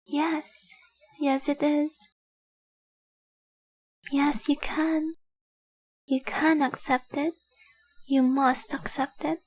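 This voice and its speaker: A woman playing a devoted young dragon-blooded fiancée in a romantic audio roleplay, speaking softly, earnestly and adoringly. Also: high voice, level tone, extremely slow, pauses often, dry recording. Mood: adoring, playful. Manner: soft, earnest, adoring, often dropping to breathy whispers.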